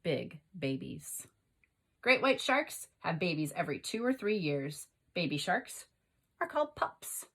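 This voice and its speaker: A woman's voice: lowish voice, big pitch swings, a little slow, creaky, fairly bright tone, breathy edge. A woman teacher reading aloud and explaining to young children, instructing them with questions and prompts. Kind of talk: expressive read-aloud and lesson for young children.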